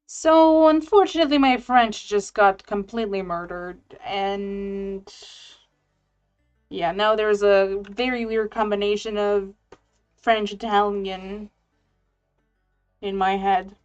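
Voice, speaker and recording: A woman's voice: medium pitch, fairly even intonation, clean tone. A woman whose first language is Romanian, chatting casually and hesitantly as a guest on a live stream over a remote call. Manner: slow, hesitant, with frequent fillers. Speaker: guest artist. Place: at home, living in Germany. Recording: dull lo-fi sound with faint music.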